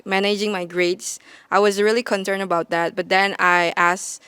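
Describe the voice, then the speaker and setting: Young female TA, crystal-clear voice, plain calm answers. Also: mid-range voice, moderate pace, dry recording. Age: young adult. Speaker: senior teaching assistant. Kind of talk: interview answer.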